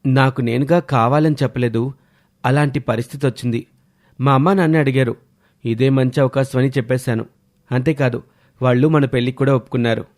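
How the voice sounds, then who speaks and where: A man's voice: medium pitch, steady tone, a little fast, pauses often, darkish tone, natural voice. A man as an eager, affectionate young man. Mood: excited. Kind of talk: scripted cartoon dialogue.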